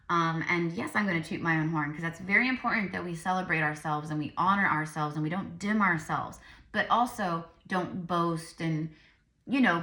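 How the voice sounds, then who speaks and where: A woman's voice: on the low side, pushed and bright, echoey room. A tired woman with a slightly crackly voice, chatting informally and in a rambling way to viewers during a psychic tarot reading. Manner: informal, rambling, addressing viewers as "you guys". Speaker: psychic medium and tarot reader.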